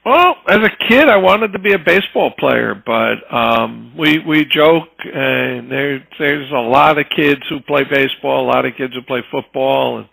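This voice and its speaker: A man's voice: mid-range voice, expressive, unhurried, gravelly, hardly any pauses, bright, forceful tone, low-quality recording. A man in his fifties from New Jersey, a home inspector telling his story in an easygoing interview. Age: 50s. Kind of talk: casual interview talk.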